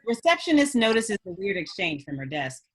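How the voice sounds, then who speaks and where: A woman's voice: mid-range voice, very animated, fairly quick, slight background noise. A woman reading screenplay stage directions as a narrator at a script table read.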